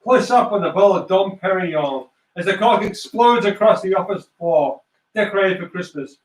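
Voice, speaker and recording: A man's voice: high-pitched, lively intonation, normal speed. A man narrating a script aloud, reading out stage directions. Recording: echoey room, distant mic.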